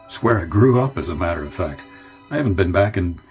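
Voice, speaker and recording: An American actor playing a middle-aged, weary and wistful executive in a radio drama, talking in a hesitant, musing way. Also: male voice, low-pitched, expressive, fast, a little mellow, soft background music. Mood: wistful, restless. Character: weary, wistful ad executive fleeing city pressures.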